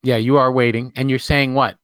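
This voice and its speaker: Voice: medium pitch, level tone, brisk, a bit bright, dry recording. A game master narrating a tabletop game, hesitant with many fillers and restarts.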